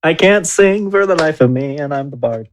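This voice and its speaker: A man's voice: on the high side, expressive, moderate pace, slight background noise. A man chatting casually as a player at a tabletop game.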